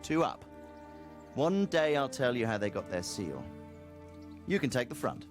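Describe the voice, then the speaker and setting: Game role: middle-aged medieval lord, wry fatherly mentor, animated melody in the delivery, music underneath. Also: medium pitch, a little slow. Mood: wry, amused. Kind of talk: cinematic video game dialogue.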